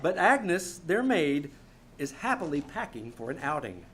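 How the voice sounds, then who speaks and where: A man's voice: fairly high pitch, big pitch swings, unhurried, a bit bright, slight background noise. A man announcing a scripted old-time radio show in a theatrical, showman-like manner. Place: small theater stage, live performance.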